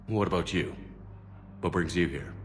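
A man acting as a terse game hero, a military commander with a very low voice. He is serious and steady, short on words, with music behind him. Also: moderate pace, lightly acted. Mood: grave. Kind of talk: cinematic video game dialogue.

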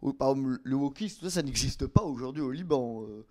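A man's voice: mid-range voice, lively intonation, brisk, a little mellow. A young man asking conversational interview questions, wordy and rephrasing himself as he goes. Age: young adult. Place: indoor room with bookshelves. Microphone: handheld microphone.

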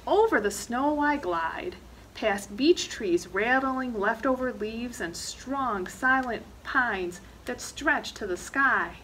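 A woman's voice: on the high side, animated, slow, fairly bright tone, a little hiss or hum, rough recording. A middle-aged woman reading a children's picture book aloud expressively, with dramatic emphasis on action words. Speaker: librarian reading storytime. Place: indoor library reading corner, seated.

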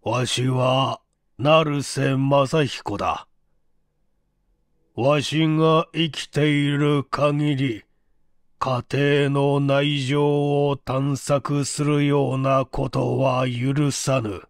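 A frail but stubborn old man, acted out by a male reader in a mystery audiobook. He speaks very slowly and weightily, in a flat, toneless way, and refuses to bend. The sound is dry. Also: medium pitch, no echo. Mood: stern, defiant. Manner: slow, halting, weighty speech. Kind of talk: dramatic audiobook character reading.

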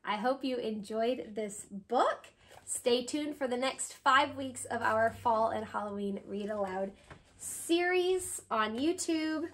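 A woman around her thirties reading a children's picture book aloud, expressive and sing-song, with drawn-out pauses for young listeners. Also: a little high. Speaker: read-aloud host, tutor. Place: small indoor room with bookshelves.

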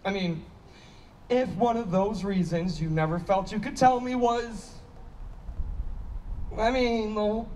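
Casting: male actor as guilty, awkward young man, high voice, halting, distant outdoor stage recording with faint noise. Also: a little mellow. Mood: hesitant. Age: young adult. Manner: halting, sheepish. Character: awkward, apologetic straight man, guilty needy friend. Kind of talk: staged play reading. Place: small outdoor stage by a block wall.

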